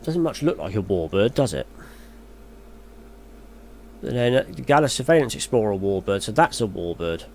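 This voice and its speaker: A man's voice: on the low side, big pitch swings, moderate pace, pauses often, darkish tone, slight background noise. A man reading gaming news aloud as a YouTuber, then musing in a hesitant, chatty way. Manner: muses aloud, hesitant and chatty when commenting. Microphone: voice-over on a screen recording.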